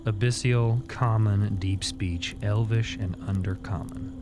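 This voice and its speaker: A man with a fairly low voice plays a tabletop roleplaying game. He speaks casually, announcing his character's actions. There is slight noise in the background. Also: fairly even intonation, a little slow.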